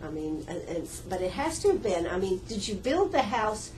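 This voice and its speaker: A woman's voice: mid-range voice, very animated, normal speed, a little mellow, a little hiss or hum. A woman hosting a radio interview in an art gallery, chatty and effusive, with a folksy way of talking. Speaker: radio host.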